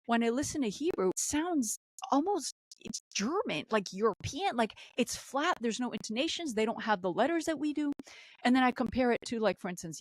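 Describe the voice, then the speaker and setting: A woman who also speaks Arabic, giving impassioned political commentary on a podcast, repeating phrases and rising in pitch when indignant. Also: no echo. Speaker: podcast guest.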